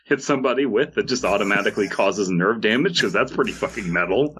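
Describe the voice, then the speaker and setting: A man's voice: on the low side, fast, a bit bright, a little hiss or hum, poor audio. A man hosting a podcast, reading stories aloud and bantering casually with joking, sweary asides. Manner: sweary, jokey. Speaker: podcast host.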